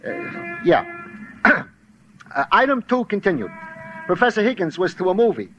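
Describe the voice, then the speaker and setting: An actor playing a chatty, eager, folksy police sergeant, the earnest comic sidekick in a 1940s radio drama. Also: male voice, sits high, very animated, normal speed, a bit bright, soft background music. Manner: working-class, ungrammatical, earnest. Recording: muffled, hissy old radio recording.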